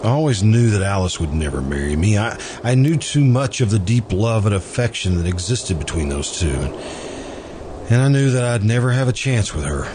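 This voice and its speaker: Casting: male actor for wistful, hesitant suitor and narrator, radio drama, deep-leaning voice, lively intonation. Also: normal speed, background noise, lightly acted. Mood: wistful, confiding. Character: earnest man in love with best friend's widow. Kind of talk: old-time radio drama acting, narrating.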